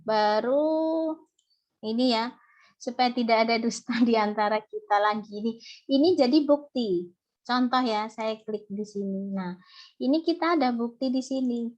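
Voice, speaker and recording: A woman's voice: a little high, measured pace, a little mellow. Chatty Javanese-accented woman lecturing online. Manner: chatty, explaining step by step with frequent "ya". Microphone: video call. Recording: muffled video call audio.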